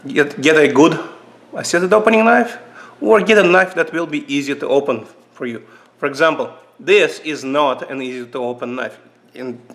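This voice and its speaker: A man with a Russian accent casually reviewing knives at a table for his channel, halting with many fillers and restarts. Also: mid-range voice, fairly bright tone. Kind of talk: casual knife review vlog. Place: indoors at a table.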